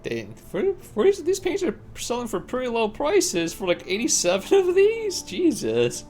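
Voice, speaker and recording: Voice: mid-range voice, wildly swooping pitch, moderate pace, a little mellow, soft background music. A game streamer giving casual live commentary while playing.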